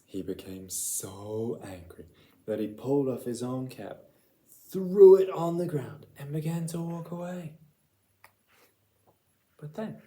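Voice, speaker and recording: A man reading a picture book aloud as storyteller, deliberate and dramatic in his delivery. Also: mid-range voice, warm tone, slight background noise. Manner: deliberate, dramatic, with repeated phrases.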